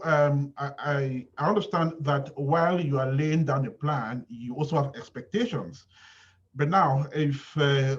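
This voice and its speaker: Husky man hosting, halting, dull audio. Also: mid-range voice. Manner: halting, deliberate, repeats words. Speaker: webinar host, moderator. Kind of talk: moderating, asking questions.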